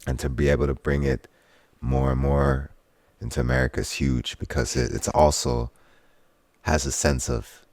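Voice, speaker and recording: Very deep, gravelly Swiss-born man. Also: fairly even intonation, normal speed, darkish tone, dry recording. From Switzerland. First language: Swiss German. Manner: earnest, spiritual turns of phrase. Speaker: guest, soul singer and DJ. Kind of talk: casual conversational interview.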